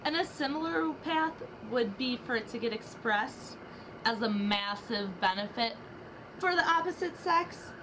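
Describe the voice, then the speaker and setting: A young woman with a high, light voice reads rap verses in a rhythmic, dramatic way, swapping lines back and forth with a partner. The homemade recording carries a steady wash of hiss and room noise underneath her. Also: a little slow. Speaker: student reader. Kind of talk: dramatic reading of rap lyrics.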